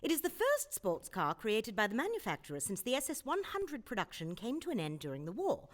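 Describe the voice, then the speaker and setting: A woman's voice: a little high, huge pitch swings, brisk. A woman playing a flustered, proper 1940s field reporter in a comedy radio drama, with a stammering delivery. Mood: bright, reporting.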